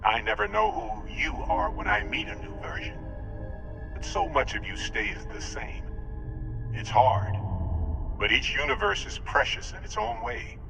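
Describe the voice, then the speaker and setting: An actor playing an earnest, protective otherworldly emissary in a video game, speaking gravely and sincerely. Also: male voice, high-pitched, sing-song, a little slow, over music, exaggerated voice. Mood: wistful, urgent. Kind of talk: dramatic video game acting.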